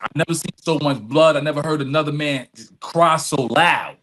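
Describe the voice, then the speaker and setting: A man's voice: a little high, normal speed, a bit bright. A middle-aged man being interviewed, telling personal stories candidly and informally, repeating phrases for emphasis. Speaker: interview guest.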